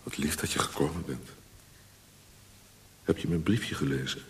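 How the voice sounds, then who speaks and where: An actor playing a tender young painter-poet courting his sweetheart in a radio drama, speaking softly and coaxingly. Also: extremely low pitch, normal speed. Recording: hissy, noisy old radio recording.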